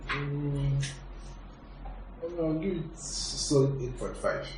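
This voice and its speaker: A man's voice: medium pitch, very slow, a little mellow. A man on a casual review panel, talking in an easygoing, informal way. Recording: echoey room with faint noise.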